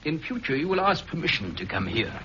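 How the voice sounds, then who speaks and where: An actor playing a stern, brusque colonel who gives orders, in a 1960s radio drama, muffled old broadcast. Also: male voice, on the high side, very animated, brisk, sharp tone, slight background noise. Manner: commanding, brusque.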